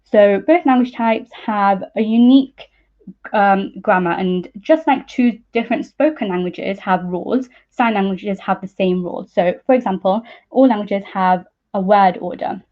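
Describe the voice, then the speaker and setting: A woman's voice: medium pitch, moderate pace. Young woman on a muffled call. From East Midlands, England. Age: young adult. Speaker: PhD student speaker. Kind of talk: informal science talk with slides. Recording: muffled narrow-band online call audio.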